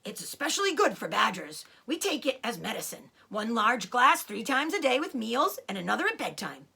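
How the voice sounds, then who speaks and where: A woman's voice: on the high side, very animated, moderate pace, vocal fry, sharp tone, breathy edge, heavily acted. A woman voicing a hearty, enthusiastic male badger in a storybook, savouring the words with emphasis. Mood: eager.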